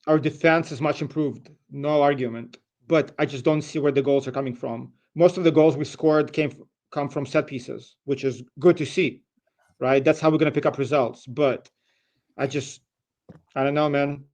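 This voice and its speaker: A man with a slightly high voice chats as a guest on a football phone-in. He argues in a casual, matter-of-fact way, hedging as he goes. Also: steady tone, moderate pace, darkish tone. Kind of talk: casual football debate chat.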